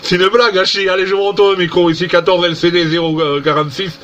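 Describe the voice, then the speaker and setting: Chatty male radio hobbyist, slightly high voice, amazed and repetitive, dull recording. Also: flat delivery, quick pace, fairly bright tone, a little hiss or hum. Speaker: amateur radio operator. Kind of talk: casual CB-style radio contact.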